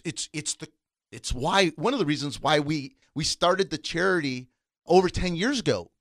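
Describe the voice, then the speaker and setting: A man's voice: on the high side, a bit bright, dry recording. Emphatic man on a dry studio mic. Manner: emphatic, persuasive. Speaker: co-host, financial instructor. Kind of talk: radio show talk.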